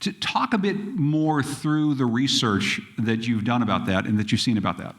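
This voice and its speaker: Halting male panel moderator. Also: mid-range voice, a bit bright. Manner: halting, repeats words as he starts questions. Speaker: moderator, host. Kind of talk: panel discussion. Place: stage at a public event.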